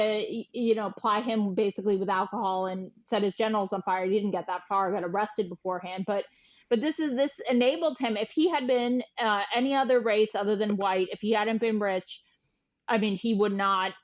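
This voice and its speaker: A woman speaking as an interviewed journalist, recounting events in an informal, emphatic way and repeating words for stress. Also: a little high. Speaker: guest journalist and writer. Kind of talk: conversational interview storytelling.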